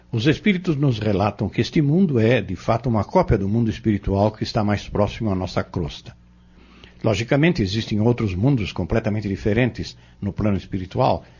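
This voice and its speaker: Husky, low-voiced Brazilian mentor, played by a man. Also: darkish tone. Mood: patient, instructive. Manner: didactic, measured, formal. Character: learned, patient male spiritist mentor explaining doctrine. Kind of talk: audio novel acting. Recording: dull lo-fi audio drama recording.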